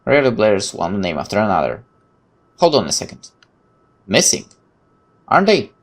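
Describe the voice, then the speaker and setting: A man's voice: on the low side, very animated, moderate pace. A man reading visual novel narration aloud dramatically, with casual asides as a gaming host.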